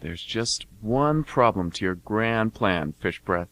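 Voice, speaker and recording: A man's voice: lowish voice, lively intonation, slow, fairly bright tone, no echo, exaggerated voice. A man playing a skeptical, sardonic pet goldfish with a dry, doubting manner in an amateur comic radio play. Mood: deadpan.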